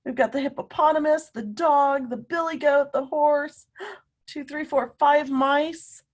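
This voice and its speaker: A woman teacher reading a picture book aloud to young children, animated and emphatic, often putting questions to her listeners. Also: high-pitched. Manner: animated, emphatic, drawn-out words, coaxing young children along.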